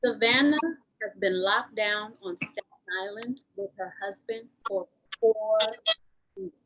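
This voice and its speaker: Lady narrator reading a script, voice a bit on the high side. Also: animated, a little slow, a bit bright, a little hiss or hum, poor audio.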